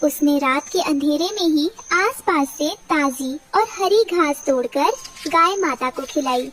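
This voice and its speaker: High-pitched woman narrating a cartoon tale. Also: a little slow, a bit bright, far from the mic, slight background noise. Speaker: story narrator. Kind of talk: dramatic scripted storytelling for a cartoon.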